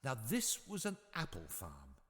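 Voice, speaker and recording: A man narrating a scripted bedtime story for children in an expressive, measured storytelling manner. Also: mid-range voice, in a large hall.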